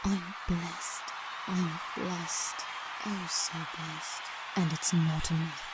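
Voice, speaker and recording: A woman's voice: lowish voice, little pitch movement, takes it slowly, very noisy. A woman playing a starstruck seventeen-year-old girl who narrates earnestly and dramatically in a fan-dubbed comic drama, with music playing. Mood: awed.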